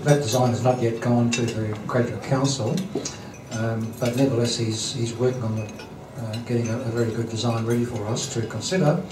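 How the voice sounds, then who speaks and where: Hesitant man clearing his throat. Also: mid-range voice, steady tone, brisk, background noise. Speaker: event host introducing a speaker. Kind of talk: halting formal introduction at a lunch. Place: dining hall at a lunch event. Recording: roomy hall, distant mic, clattering dishes.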